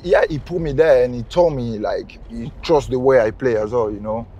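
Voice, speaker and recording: A man's voice: medium pitch, moderate pace. Laid-back young man chatting in a car. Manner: relaxed, laughs often, simple phrasing. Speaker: footballer guest. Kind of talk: casual chat. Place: inside a moving car.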